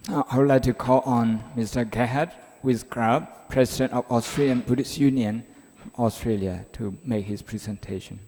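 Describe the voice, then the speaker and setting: A man chairing a conference session, briefly introducing speakers and clearing his throat. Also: medium pitch, steady tone, moderate pace, darkish tone. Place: large conference hall. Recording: long hall reverb.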